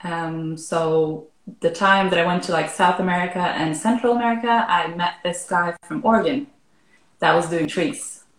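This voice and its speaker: Relaxed Norwegian woman guest. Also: on the low side, moderate pace, echoey room, poor audio. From Norway. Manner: relaxed, frequent "like" and "um". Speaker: arborist guest. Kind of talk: casual interview chat.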